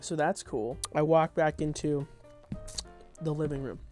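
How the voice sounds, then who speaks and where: A man's voice: on the high side, fairly even intonation, a little slow, darkish tone, soft background music. A young adult man doing an informal table read of his own comedy script, dropping in wry, off-the-cuff asides. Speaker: content creator reading own script.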